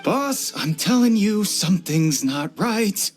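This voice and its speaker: A man's voice: high-pitched, expressive, slow pace, faint music behind. Nervous, stammering henchman. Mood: uneasy. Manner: anxious, hesitant, stuttering. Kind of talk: English video game dub acting.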